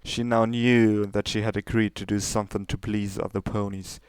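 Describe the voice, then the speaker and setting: A man's voice: on the low side, little pitch movement, normal speed, clear, resonant tone, no room sound. A man reading fiction aloud as a scripted, dramatic narration. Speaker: story reader.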